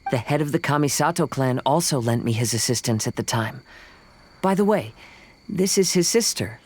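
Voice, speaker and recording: Gentle, humble young samurai played by a man. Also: medium pitch, lively intonation, slight background noise, close to an everyday voice. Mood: calm, modest. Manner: soft-spoken, measured, humble. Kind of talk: video game voice acting.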